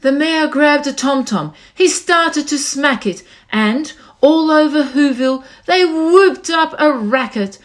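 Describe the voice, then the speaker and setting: A woman's voice: high voice, takes it slowly, few pauses. A woman reading a children's story aloud expressively as narrator. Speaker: storybook reader.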